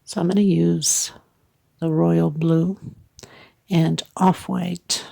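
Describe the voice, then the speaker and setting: Stuffed-up woman with a very gravelly voice. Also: lowish voice, flat delivery, takes it slowly, dark tone. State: congested, stuffed-up nose. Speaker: host of crochet tutorials. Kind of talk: casual spoken tutorial.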